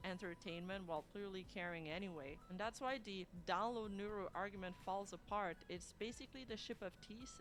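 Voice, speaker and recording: A woman's voice: medium pitch, a little fast, hardly any pauses. A woman delivering a scripted video essay with emphatic, dramatic phrasing. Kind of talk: scripted narration. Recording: music behind the voice. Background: background music.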